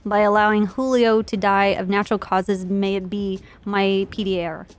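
A woman's voice: mid-range voice, level tone, normal speed, a little hiss or hum. A young woman streamer roleplaying a police officer who argues a case in a comedic mock courtroom, speaking with mock-formal courtroom politeness. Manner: mock-formal, addresses the judge as Your Honor.

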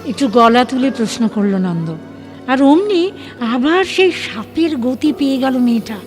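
A woman's voice: high-pitched, expressive, unhurried, darkish tone. A woman reading dramatic scripted narration for an audio story. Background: faint music.

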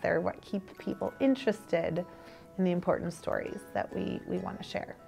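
A woman's voice: lowish voice, steady tone, normal speed, vocal fry, a little mellow. An American woman in her thirties speaking earnestly in a documentary interview as a video producer. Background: faint music.

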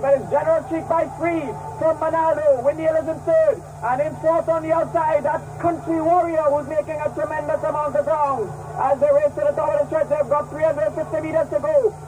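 Man, race caller, very high voice, heard from a distance on an old broadcast. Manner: rapid, clipped race calling. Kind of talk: horse racing commentary.

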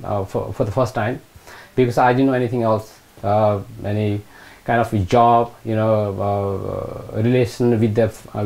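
A man's voice: mid-range voice, vocal fry, darkish tone, poor audio. A middle-aged man from eastern Nepal with a Nepali accent, recalling his life hesitantly in an interview, often repeating phrases. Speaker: interviewee, retired British Army Gurkha. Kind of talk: oral history interview, personal recollection. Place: small room indoors, seated on a sofa. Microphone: lapel mic.

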